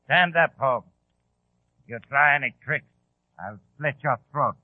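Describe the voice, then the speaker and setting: A sadistic, scarred henchman acted by a man threatens slowly in a vintage radio play. The mid-pitched voice comes through a dry, tinny, band-limited recording. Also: no room sound, natural voice. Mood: sadistic menace. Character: sadistic, disfigured male henchman with a knife. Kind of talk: old-time radio drama acting.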